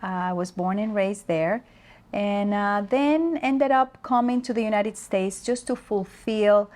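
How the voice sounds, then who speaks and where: Slow, thoughtful woman from the Dominican Republic. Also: mid-range voice, a little mellow. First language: Spanish. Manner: slow, thoughtful, pausing with fillers. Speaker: guest speaker on leadership. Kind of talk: interview conversation.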